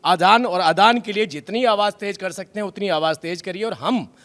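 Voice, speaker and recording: Male political spokesman, high-pitched voice, emphatic debate delivery that repeats key phrases. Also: normal speed, fairly bright tone. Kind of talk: TV debate argument.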